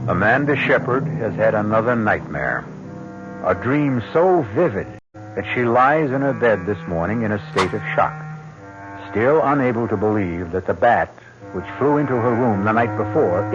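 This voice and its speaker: An actor playing an ominous storyteller, narrating an old-time radio mystery in a theatrical, deliberate, rhetorical manner. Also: male voice, on the low side, lively intonation, lightly acted. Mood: ominous, suspenseful. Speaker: host narrator. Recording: dull vintage radio recording with music.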